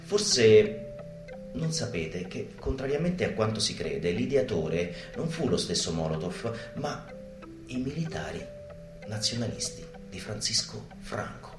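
A man delivers a mock instructional monologue in a live theater. His pitch sits in the middle but moves with great animation, and he is emphatic, with deliberate dramatic pauses. The room echoes around him, and live guitar music plays faintly behind. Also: very animated. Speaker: stage performer. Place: indoor theater stage. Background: live guitar and bass music.

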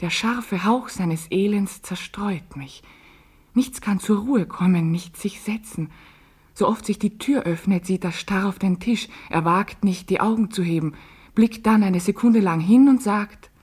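Fragile, alienated woman, breathy and husky, poetic literary radio monologue. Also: medium pitch, unhurried, darkish tone. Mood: fragile, despairing. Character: fragile, alienated woman who feels faceless.

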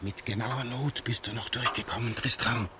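A man acting a sober friend who gives grave, measured counsel in a radio play, on an old recording. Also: mid-range voice, fairly even intonation, distant mic, noisy background, lightly acted. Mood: grave, concerned.

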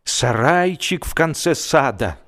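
A man performing a humorous literary narration in an expressive, mock-serious manner with dramatic pauses. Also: on the high side.

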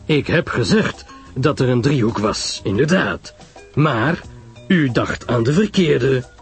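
A man voicing a shrewd, courteous, self-assured detective in an audiobook story, husky, deliberate and emphatic in explaining. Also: mid-range voice, animated, faint music behind, exaggerated voice. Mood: explanatory, assured.

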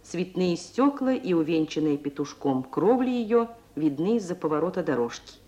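A narrator reading scripted stage scenes for a radio drama in a measured, literary storytelling manner. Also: medium pitch, expressive, warm tone. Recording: old radio recording with faint hiss.

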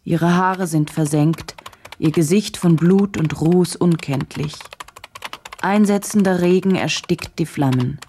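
Low, mellow, measured woman narrator. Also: slight background noise. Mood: sober, detached. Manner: measured, factual, detached. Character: sober female journalist narrating a grim case. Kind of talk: scripted radio drama narration.

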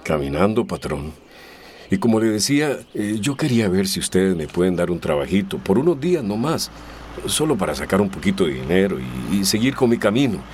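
An actor playing a humble, desperate migrant labourer in a radio drama, middle-aged, hesitant and deferential. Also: male voice, low voice, very animated, a little fast, a little mellow, slight background noise. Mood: humble, hopeful.

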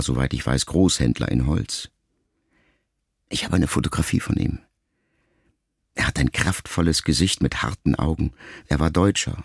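A man reading a letter aloud as an audiobook, in a measured, reflective way. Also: very deep voice, gravelly, slightly rough, no echo, studio quality. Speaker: narrator. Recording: loud music underneath.

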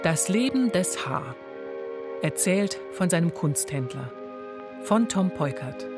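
A man's voice: sits high, expressive, takes it slowly. A man announcing the title and credits of a radio play in a scripted broadcast style. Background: music.

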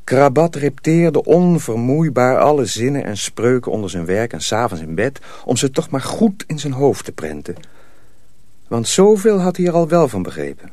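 A man's voice: mid-range voice, big pitch swings, normal speed, darkish tone, dry recording, studio quality. A man narrating a radio drama as a storyteller, reading scripted prose dramatically.

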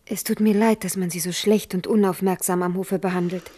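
An actress playing a nervous young princess in a 1970s radio play, talking in a hushed, anxious way. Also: female voice, lowish voice, fairly even intonation, a little fast, close to an everyday voice. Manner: hushed, anxious, drops to whispers.

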